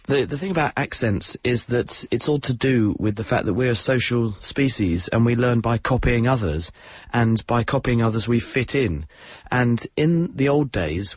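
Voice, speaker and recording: A man with a southern English accent explaining science on a radio call-in show, relaxed and joking at his own expense. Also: medium pitch, no echo. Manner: relaxed explainer, self-deprecating jokes. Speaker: science expert guest on radio.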